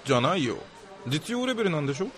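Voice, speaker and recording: A man's voice: fairly high pitch, very animated, racing, a bit bright, faint music behind. A man playing a skeptical, bossy lab chief with a casual, bemused manner in a comedic radio drama. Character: gruff, skeptical male lab chief, easily impressed.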